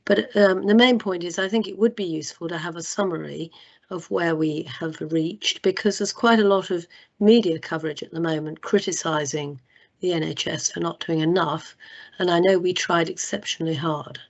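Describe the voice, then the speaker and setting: Hoarse woman on a video call. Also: lowish voice, moderate pace. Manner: thoughtful, long winding sentences. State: losing her voice. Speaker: meeting attendee. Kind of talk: making a suggestion in an online meeting. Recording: muffled video call audio.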